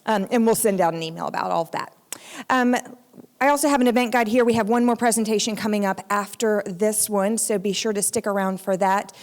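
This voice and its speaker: A woman's voice: mid-range voice, brisk. A woman general manager giving friendly, loose welcome remarks at a conference, with many ums. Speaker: general manager and event host. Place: large event hall with live audience. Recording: long hall reverb.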